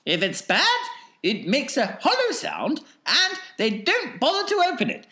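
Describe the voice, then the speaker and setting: A man reading aloud and voicing an excitable, eccentric male factory owner who explains things eagerly and insistently. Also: extremely high pitch, measured pace, pitched above the performer's own voice, full character voice. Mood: enthusiastic. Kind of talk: audiobook character voice.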